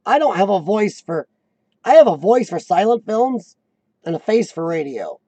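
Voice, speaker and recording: A man in his forties chats casually with viewers in a high, squeaky voice that is rough and gravelly from years of coughing. His tone is dark and mellow despite the pitch, he keeps clearing his throat, and the sound is close and dry. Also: big pitch swings, no echo, lo-fi sound. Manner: clears throat often, halting restarts. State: voice worn from lung illness and coughing. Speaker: host of a live chat show. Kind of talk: casual live-stream chat reading viewer comments.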